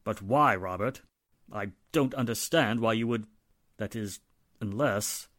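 A man's voice: lowish voice, a little slow, a bit bright, no echo, studio quality. A man playing an apologetic older society patriarch in 1940s radio style, formal, courteous and hesitant. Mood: puzzled, hesitant. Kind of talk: 1940s radio drama acting.

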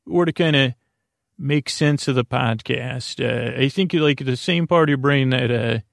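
A man with a creaky voice hosting a sleep podcast, delivering a meandering, halting bedtime monologue that trails off into tangents. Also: mid-range voice, no room sound, studio quality. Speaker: podcast host.

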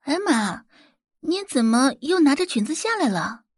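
Woman playing sweet young society belle, lilting, higher-pitched. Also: sing-song, unhurried, dry recording, clean studio sound. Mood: sweetly puzzled.

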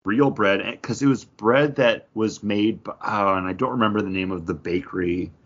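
A man's voice: lowish voice, normal speed, a bit bright. An American man co-hosting a podcast in casual, rambling, anecdotal conversation, repeating himself for emphasis. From western New York, United States. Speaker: podcast co-host. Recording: dull, lo-fi audio.

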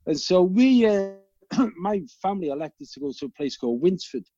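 A man with a Liverpool accent, a guest telling animated, rambling stories and swearing freely. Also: high voice, moderate pace, no echo, lo-fi sound. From England. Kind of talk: casual storytelling chat.

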